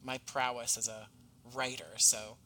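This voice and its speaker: A man speaking as an interview guest, articulate, measured and courteous in conversation. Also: medium pitch, little pitch movement, bright, forceful tone, a little hiss or hum. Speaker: podcast guest, playwright and writer.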